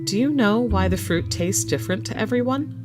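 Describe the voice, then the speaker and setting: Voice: mid-range voice, expressive, brisk, pitched above the performer's own voice, lightly acted. A narrator voicing an inquisitive story protagonist who asks curious questions in first-person dialogue. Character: inquisitive first-person owner of a mysterious backyard tree. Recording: music behind the voice.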